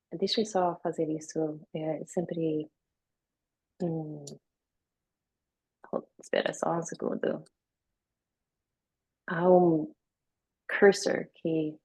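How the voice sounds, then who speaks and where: A woman from the United States gives a live online talk in a language she learned later, pausing often with many fillers. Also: fairly low pitch, poor audio. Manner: hesitant, with many fillers and pauses. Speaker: guest speaker. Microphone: video call.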